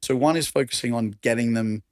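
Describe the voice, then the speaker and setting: A man with an Australian accent and a raspy voice, talking enthusiastically as a podcast guest. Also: mid-range voice, a little fast, darkish tone, no echo, studio quality. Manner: enthusiastic, conversational, laughs often. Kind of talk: casual chat.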